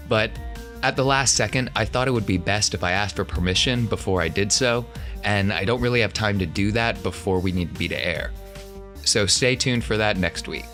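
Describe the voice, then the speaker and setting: A man with a fairly low voice hosts a tabletop role-playing podcast. He speaks as the game master, with faint music underneath. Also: a bit bright. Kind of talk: hosting an actual-play tabletop RPG podcast.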